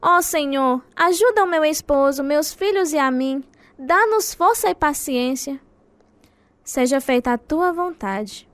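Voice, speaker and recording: A woman's voice: sits high, expressive, measured pace, fairly bright tone. A woman acting an earnest, devout wife and mother of a prisoner in a radio drama. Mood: anguished. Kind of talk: radio drama narration and dialogue. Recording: music playing behind the voice.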